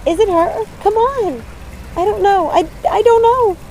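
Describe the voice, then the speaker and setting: A woman voicing an excitable, frightened young witch sister in a storybook, urgent and exclaiming. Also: very high-pitched, unhurried, a little hiss or hum, pitched above the performer's own voice. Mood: alarmed.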